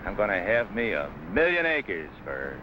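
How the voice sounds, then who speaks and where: An actor playing a confident, folksy frontier explorer and hunter in an old adventure drama. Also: male voice, on the low side, huge pitch swings, moderate pace. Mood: determined. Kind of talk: old-time western adventure drama acting. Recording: dull old recording with faint noise.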